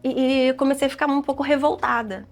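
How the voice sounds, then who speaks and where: A woman's voice: high voice, steady tone, fairly quick, soft background music. A woman in her forties with a Brazilian Portuguese accent, a gospel singer sharing her testimony in an earnest, reflective way. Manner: soft-spoken, earnest, reflective. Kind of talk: personal testimony in an interview.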